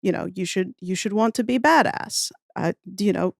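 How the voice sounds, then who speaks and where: A woman's voice: medium pitch, normal speed, creaky, dry recording, studio quality. A woman in her thirties chatting as a podcast guest in a thoughtful, wry, nerdily enthusiastic way. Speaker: podcast guest, audio fiction writer. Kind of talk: casual interview conversation.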